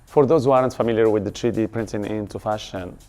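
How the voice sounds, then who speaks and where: A man working as a showbiz reporter, putting brisk interview questions to his guest. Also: on the low side, a little mellow, soft background music.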